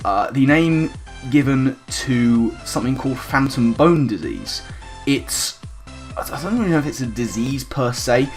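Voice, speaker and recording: A man hosting a casual series in a chatty, rambling way, amused by his own wordplay. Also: mid-range voice. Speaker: host of a nickname series. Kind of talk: casual scripted-outline commentary to viewers. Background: music under the voice.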